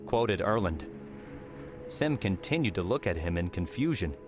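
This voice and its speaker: A man's voice: deep voice, sing-song, moderate pace. A man narrating a fiction audiobook, reading scripted story prose. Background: music.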